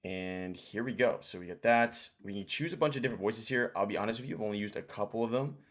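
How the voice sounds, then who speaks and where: A man's voice: lowish voice, quick pace. A man hosting a casual screen-share tutorial, informal and thinking aloud as he goes. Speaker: tutorial host.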